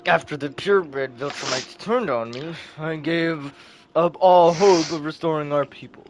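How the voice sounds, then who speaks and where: A man's voice: a little high, measured pace, a little hiss or hum, natural voice, poor audio. Guy doing a cold scornful alien warlord from a comic, bossy and merciless, big speeches. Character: ruthless, contemptuous alien warlord father.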